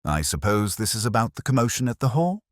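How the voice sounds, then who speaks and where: A man's voice: fairly low pitch, big pitch swings, quick pace, no echo, studio quality, pitched above the performer's own voice. Uptight village lady, acted by a male reader: fussy, stiff, defensive, swinging intonation, for an audio mystery. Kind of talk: audio mystery story dialogue.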